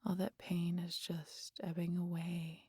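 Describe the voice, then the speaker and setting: A woman playing a sardonic, guarded vampire in an intimate audio roleplay, with a gravelly, husky voice and a teasing, exasperated manner that slips into breathy whispers. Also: on the low side, monotone, measured pace, a little mellow, no room sound, studio quality. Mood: soothing, hushed. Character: sardonic, guarded female vampire hypnotizing an injured hunter.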